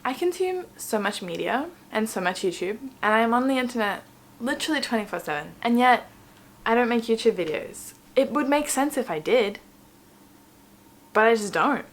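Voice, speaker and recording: A woman's voice: fairly high pitch, lively intonation, moderate pace, a little hiss or hum. Rambling young woman chatting into a camera. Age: 20s. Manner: chatty and rambling, many "like"s and self-mocking asides. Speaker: vlogger. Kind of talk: casual chat while doing makeup. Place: home room indoors.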